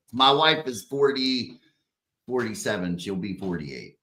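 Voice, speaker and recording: A man's voice: medium pitch, very animated, slow pace, pushed and bright, reverberant. A man in his forties co-hosting a podcast, chatting casually with swearing and laughter. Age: 40s. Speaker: podcast co-host.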